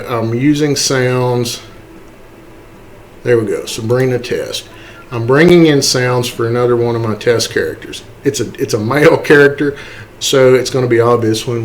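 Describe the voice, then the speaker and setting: A man with a mid-pitched voice walks through software as a tutorial instructor. His delivery is casual and explanatory, going step by step. He often pauses to check in with the learner.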